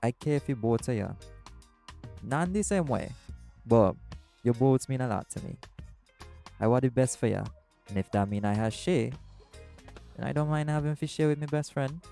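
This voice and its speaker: Guy doing a sweet shifter boyfriend, gravelly warm low voice, faint music behind. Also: expressive, moderate pace, pauses often. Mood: tender. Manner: soft-spoken, affectionate, creole-inflected speech. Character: doting laid-back island boyfriend, seagull shifter. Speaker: audio roleplay performer. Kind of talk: intimate audio roleplay.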